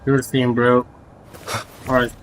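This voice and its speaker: A man's voice: mid-range voice, monotone, slow pace, background noise. A man streaming a game and reacting live in a casual, informal, slangy way. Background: game music.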